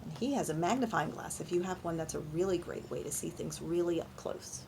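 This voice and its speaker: A woman reading a picture book aloud to young children in an animated storytime style, pausing to ask questions and explain things simply. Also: fairly low pitch, vocal fry, slight background noise. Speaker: children's librarian and storytime reader.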